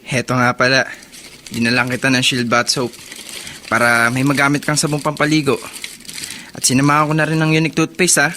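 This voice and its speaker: A man's voice: medium pitch, little pitch movement, fairly quick, long pauses, pushed and bright, slight background noise, natural voice. A man playing an earnest, scolding older brother of a prisoner in a Filipino radio drama. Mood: friendly.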